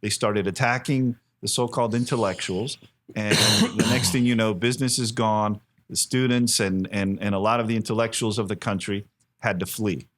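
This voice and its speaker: A man's voice: fairly low pitch, steady tone, normal speed, fairly bright tone. An American man in his fifties speaking earnestly and emphatically as an interview guest, telling stories in a conversational way. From Miami, United States. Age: 50s. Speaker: interview guest, cigar company president.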